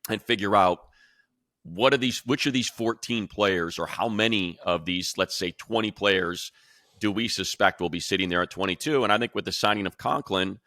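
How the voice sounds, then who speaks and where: A man's voice: low voice, pushed and bright, dry recording, studio quality. A man hosting a sports show, giving analysis in an emphatic, conversational way as he thinks aloud.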